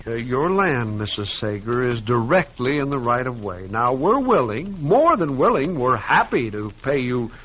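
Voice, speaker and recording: An actor playing a nervous, pushy railroad agent who pleads and stammers, in a 1950s radio drama. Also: male voice, medium pitch, big pitch swings, moderate pace, few pauses, a bit bright. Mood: pleading. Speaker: railroad representative. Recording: dull old radio recording.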